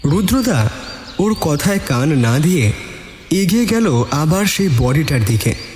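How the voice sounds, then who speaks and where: Expressive male storyteller, echoing voice. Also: on the high side, measured pace, a little hiss or hum. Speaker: narrator. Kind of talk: dramatic scripted first-person story narration. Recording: reverberant voice with added echo. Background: faint music.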